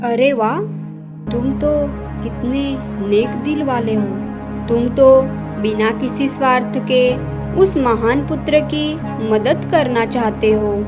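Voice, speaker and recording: A woman plays a benevolent magic cave from a cartoon fable. The voice is high and very slow, gracious in manner, and sounds muffled under loud new-age music. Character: benevolent magical talking female cave spirit.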